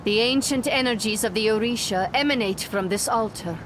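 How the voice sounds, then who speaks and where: An actress playing a poised, admiring hero in a video game, composed and earnest. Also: female voice, a little high, fairly quick, noisy background, natural voice. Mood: reverent.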